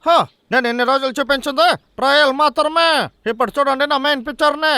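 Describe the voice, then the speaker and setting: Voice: high voice, steady tone, fast, pushed and bright. An actor playing a boastful, scheming lumberjack who hates losing, in a dubbed children's comedy cartoon. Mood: defiant. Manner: boastful, taunting, exclaiming. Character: boastful scheming lumberjack hunter, sore loser man.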